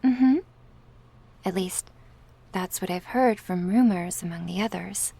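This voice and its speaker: A woman playing a politely teasing, smug young recruit of a crime syndicate, soft and breathy in an ASMR roleplay. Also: fairly low pitch, unhurried, full character voice. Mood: coy.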